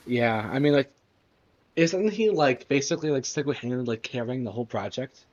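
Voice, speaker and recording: A young man with a mid-range voice talking in a halting, filler-heavy way. The recording is dull and a bit lo-fi. It sounds dry. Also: medium pitch, expressive, dry recording. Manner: halting, filler-heavy, lots of "like" and "you know what I mean". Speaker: podcast co-host. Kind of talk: casual chat.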